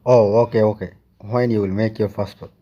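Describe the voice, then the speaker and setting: A man, speaking simple, halting English with many short okays. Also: fairly low pitch, fairly even intonation, lo-fi sound. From Bangladesh. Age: 20s. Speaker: English learner. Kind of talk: casual conversation practice.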